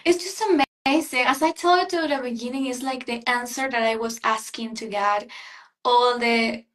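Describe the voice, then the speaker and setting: A young woman from Ecuador speaks English with a Spanish-speaking accent in a slightly raised pitch. She is effusive and sincere, pausing to find her words. It sounds like a video call. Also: steady tone, a bit bright. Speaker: pageant guest. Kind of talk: interview conversation.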